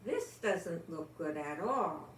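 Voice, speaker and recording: An older woman reading a children's picture book aloud, expressively, with gentle exclamations and asides to young listeners. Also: low voice, lively intonation, slow pace. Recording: echoey room with some background noise.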